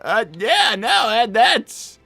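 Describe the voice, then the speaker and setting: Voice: mid-range voice, big pitch swings, takes it slowly, gravelly, pushed and bright, slightly rough, no echo, soft background music, heavily acted. A performer voicing a flustered young boy fox cartoon character, stammering and repeating words while hosting a game livestream. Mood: flustered, puzzled. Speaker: streamer hosting a live show.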